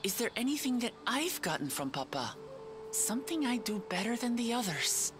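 The young lioness heir vowing to protect her people in a fantasy game cutscene, high and earnest over swelling score. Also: big pitch swings, moderate pace, over music. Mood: reflective. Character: young lion-woman warrior princess, earnest, devoted to peace. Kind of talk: video game cutscene acting.

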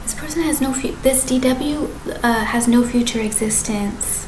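A woman in her thirties talking to a webcam in her apartment, rambling and halting, often restarting and correcting herself. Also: on the high side. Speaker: vlogger. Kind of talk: rambling direct-to-camera monologue. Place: apartment living room with kitchen behind. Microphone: webcam at desk distance. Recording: echoey room with background noise.

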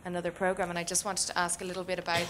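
Woman, audience questioner, lower flat voice, halting and unsure delivery. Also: background noise. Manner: halting, many restarts. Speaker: audience member asking a question. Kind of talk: question from the floor.

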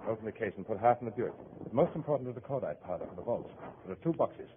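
Male actor as menacing, polite crime boss, lowish rapid voice, 1950 radio play, narrow noisy sound. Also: animated, lightly acted. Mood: brisk command. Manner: polite, sardonic, commanding. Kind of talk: 1950s radio acting.

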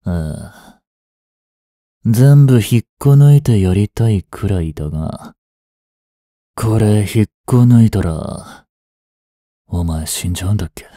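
An actor playing a rough, cocky mafia boss with hidden tenderness in an intimate audio drama, gruff and teasing in a drawl. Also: male voice, fairly low pitch, expressive, slow pace, pauses often, mellow, no room sound, clean studio sound. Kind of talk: intimate Japanese-style dramatic voice acting aimed at women listeners. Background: music.

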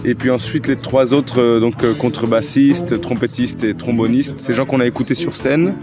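Thirties man, relaxed halting musician interviewed outside. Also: mid-range voice, music in the background. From Toulouse, France. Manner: relaxed, many hesitations. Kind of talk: casual interview. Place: outdoors against an old wall. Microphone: handheld mic held by interviewer.

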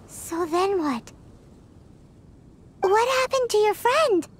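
A woman voicing a tiny, eager, pushy fairy girl in an anime-like video game, with a squeaky childlike voice and perky manner. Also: lively intonation, takes it slowly, full character voice. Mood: curious, eager. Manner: perky, speaks of herself in third person.

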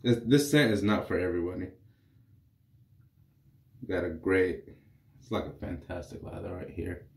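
A man's voice: low-pitched, moderate pace, gravelly, long pauses. A man in his thirties, congested from a cold, casually explaining his shave to the camera in a small bathroom. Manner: relaxed, rambling, explains while shaving. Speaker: wet-shaving reviewer. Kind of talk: casual vlog commentary. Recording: echoey bathroom.

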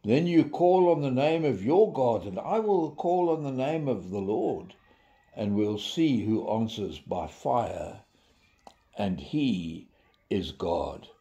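An older man, giving an informal devotional in a deliberate storytelling manner with dramatic emphasis. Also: mid-range voice, expressive, mellow. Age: 70s. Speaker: devotional preacher. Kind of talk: informal sermon with storytelling and scripture reading.

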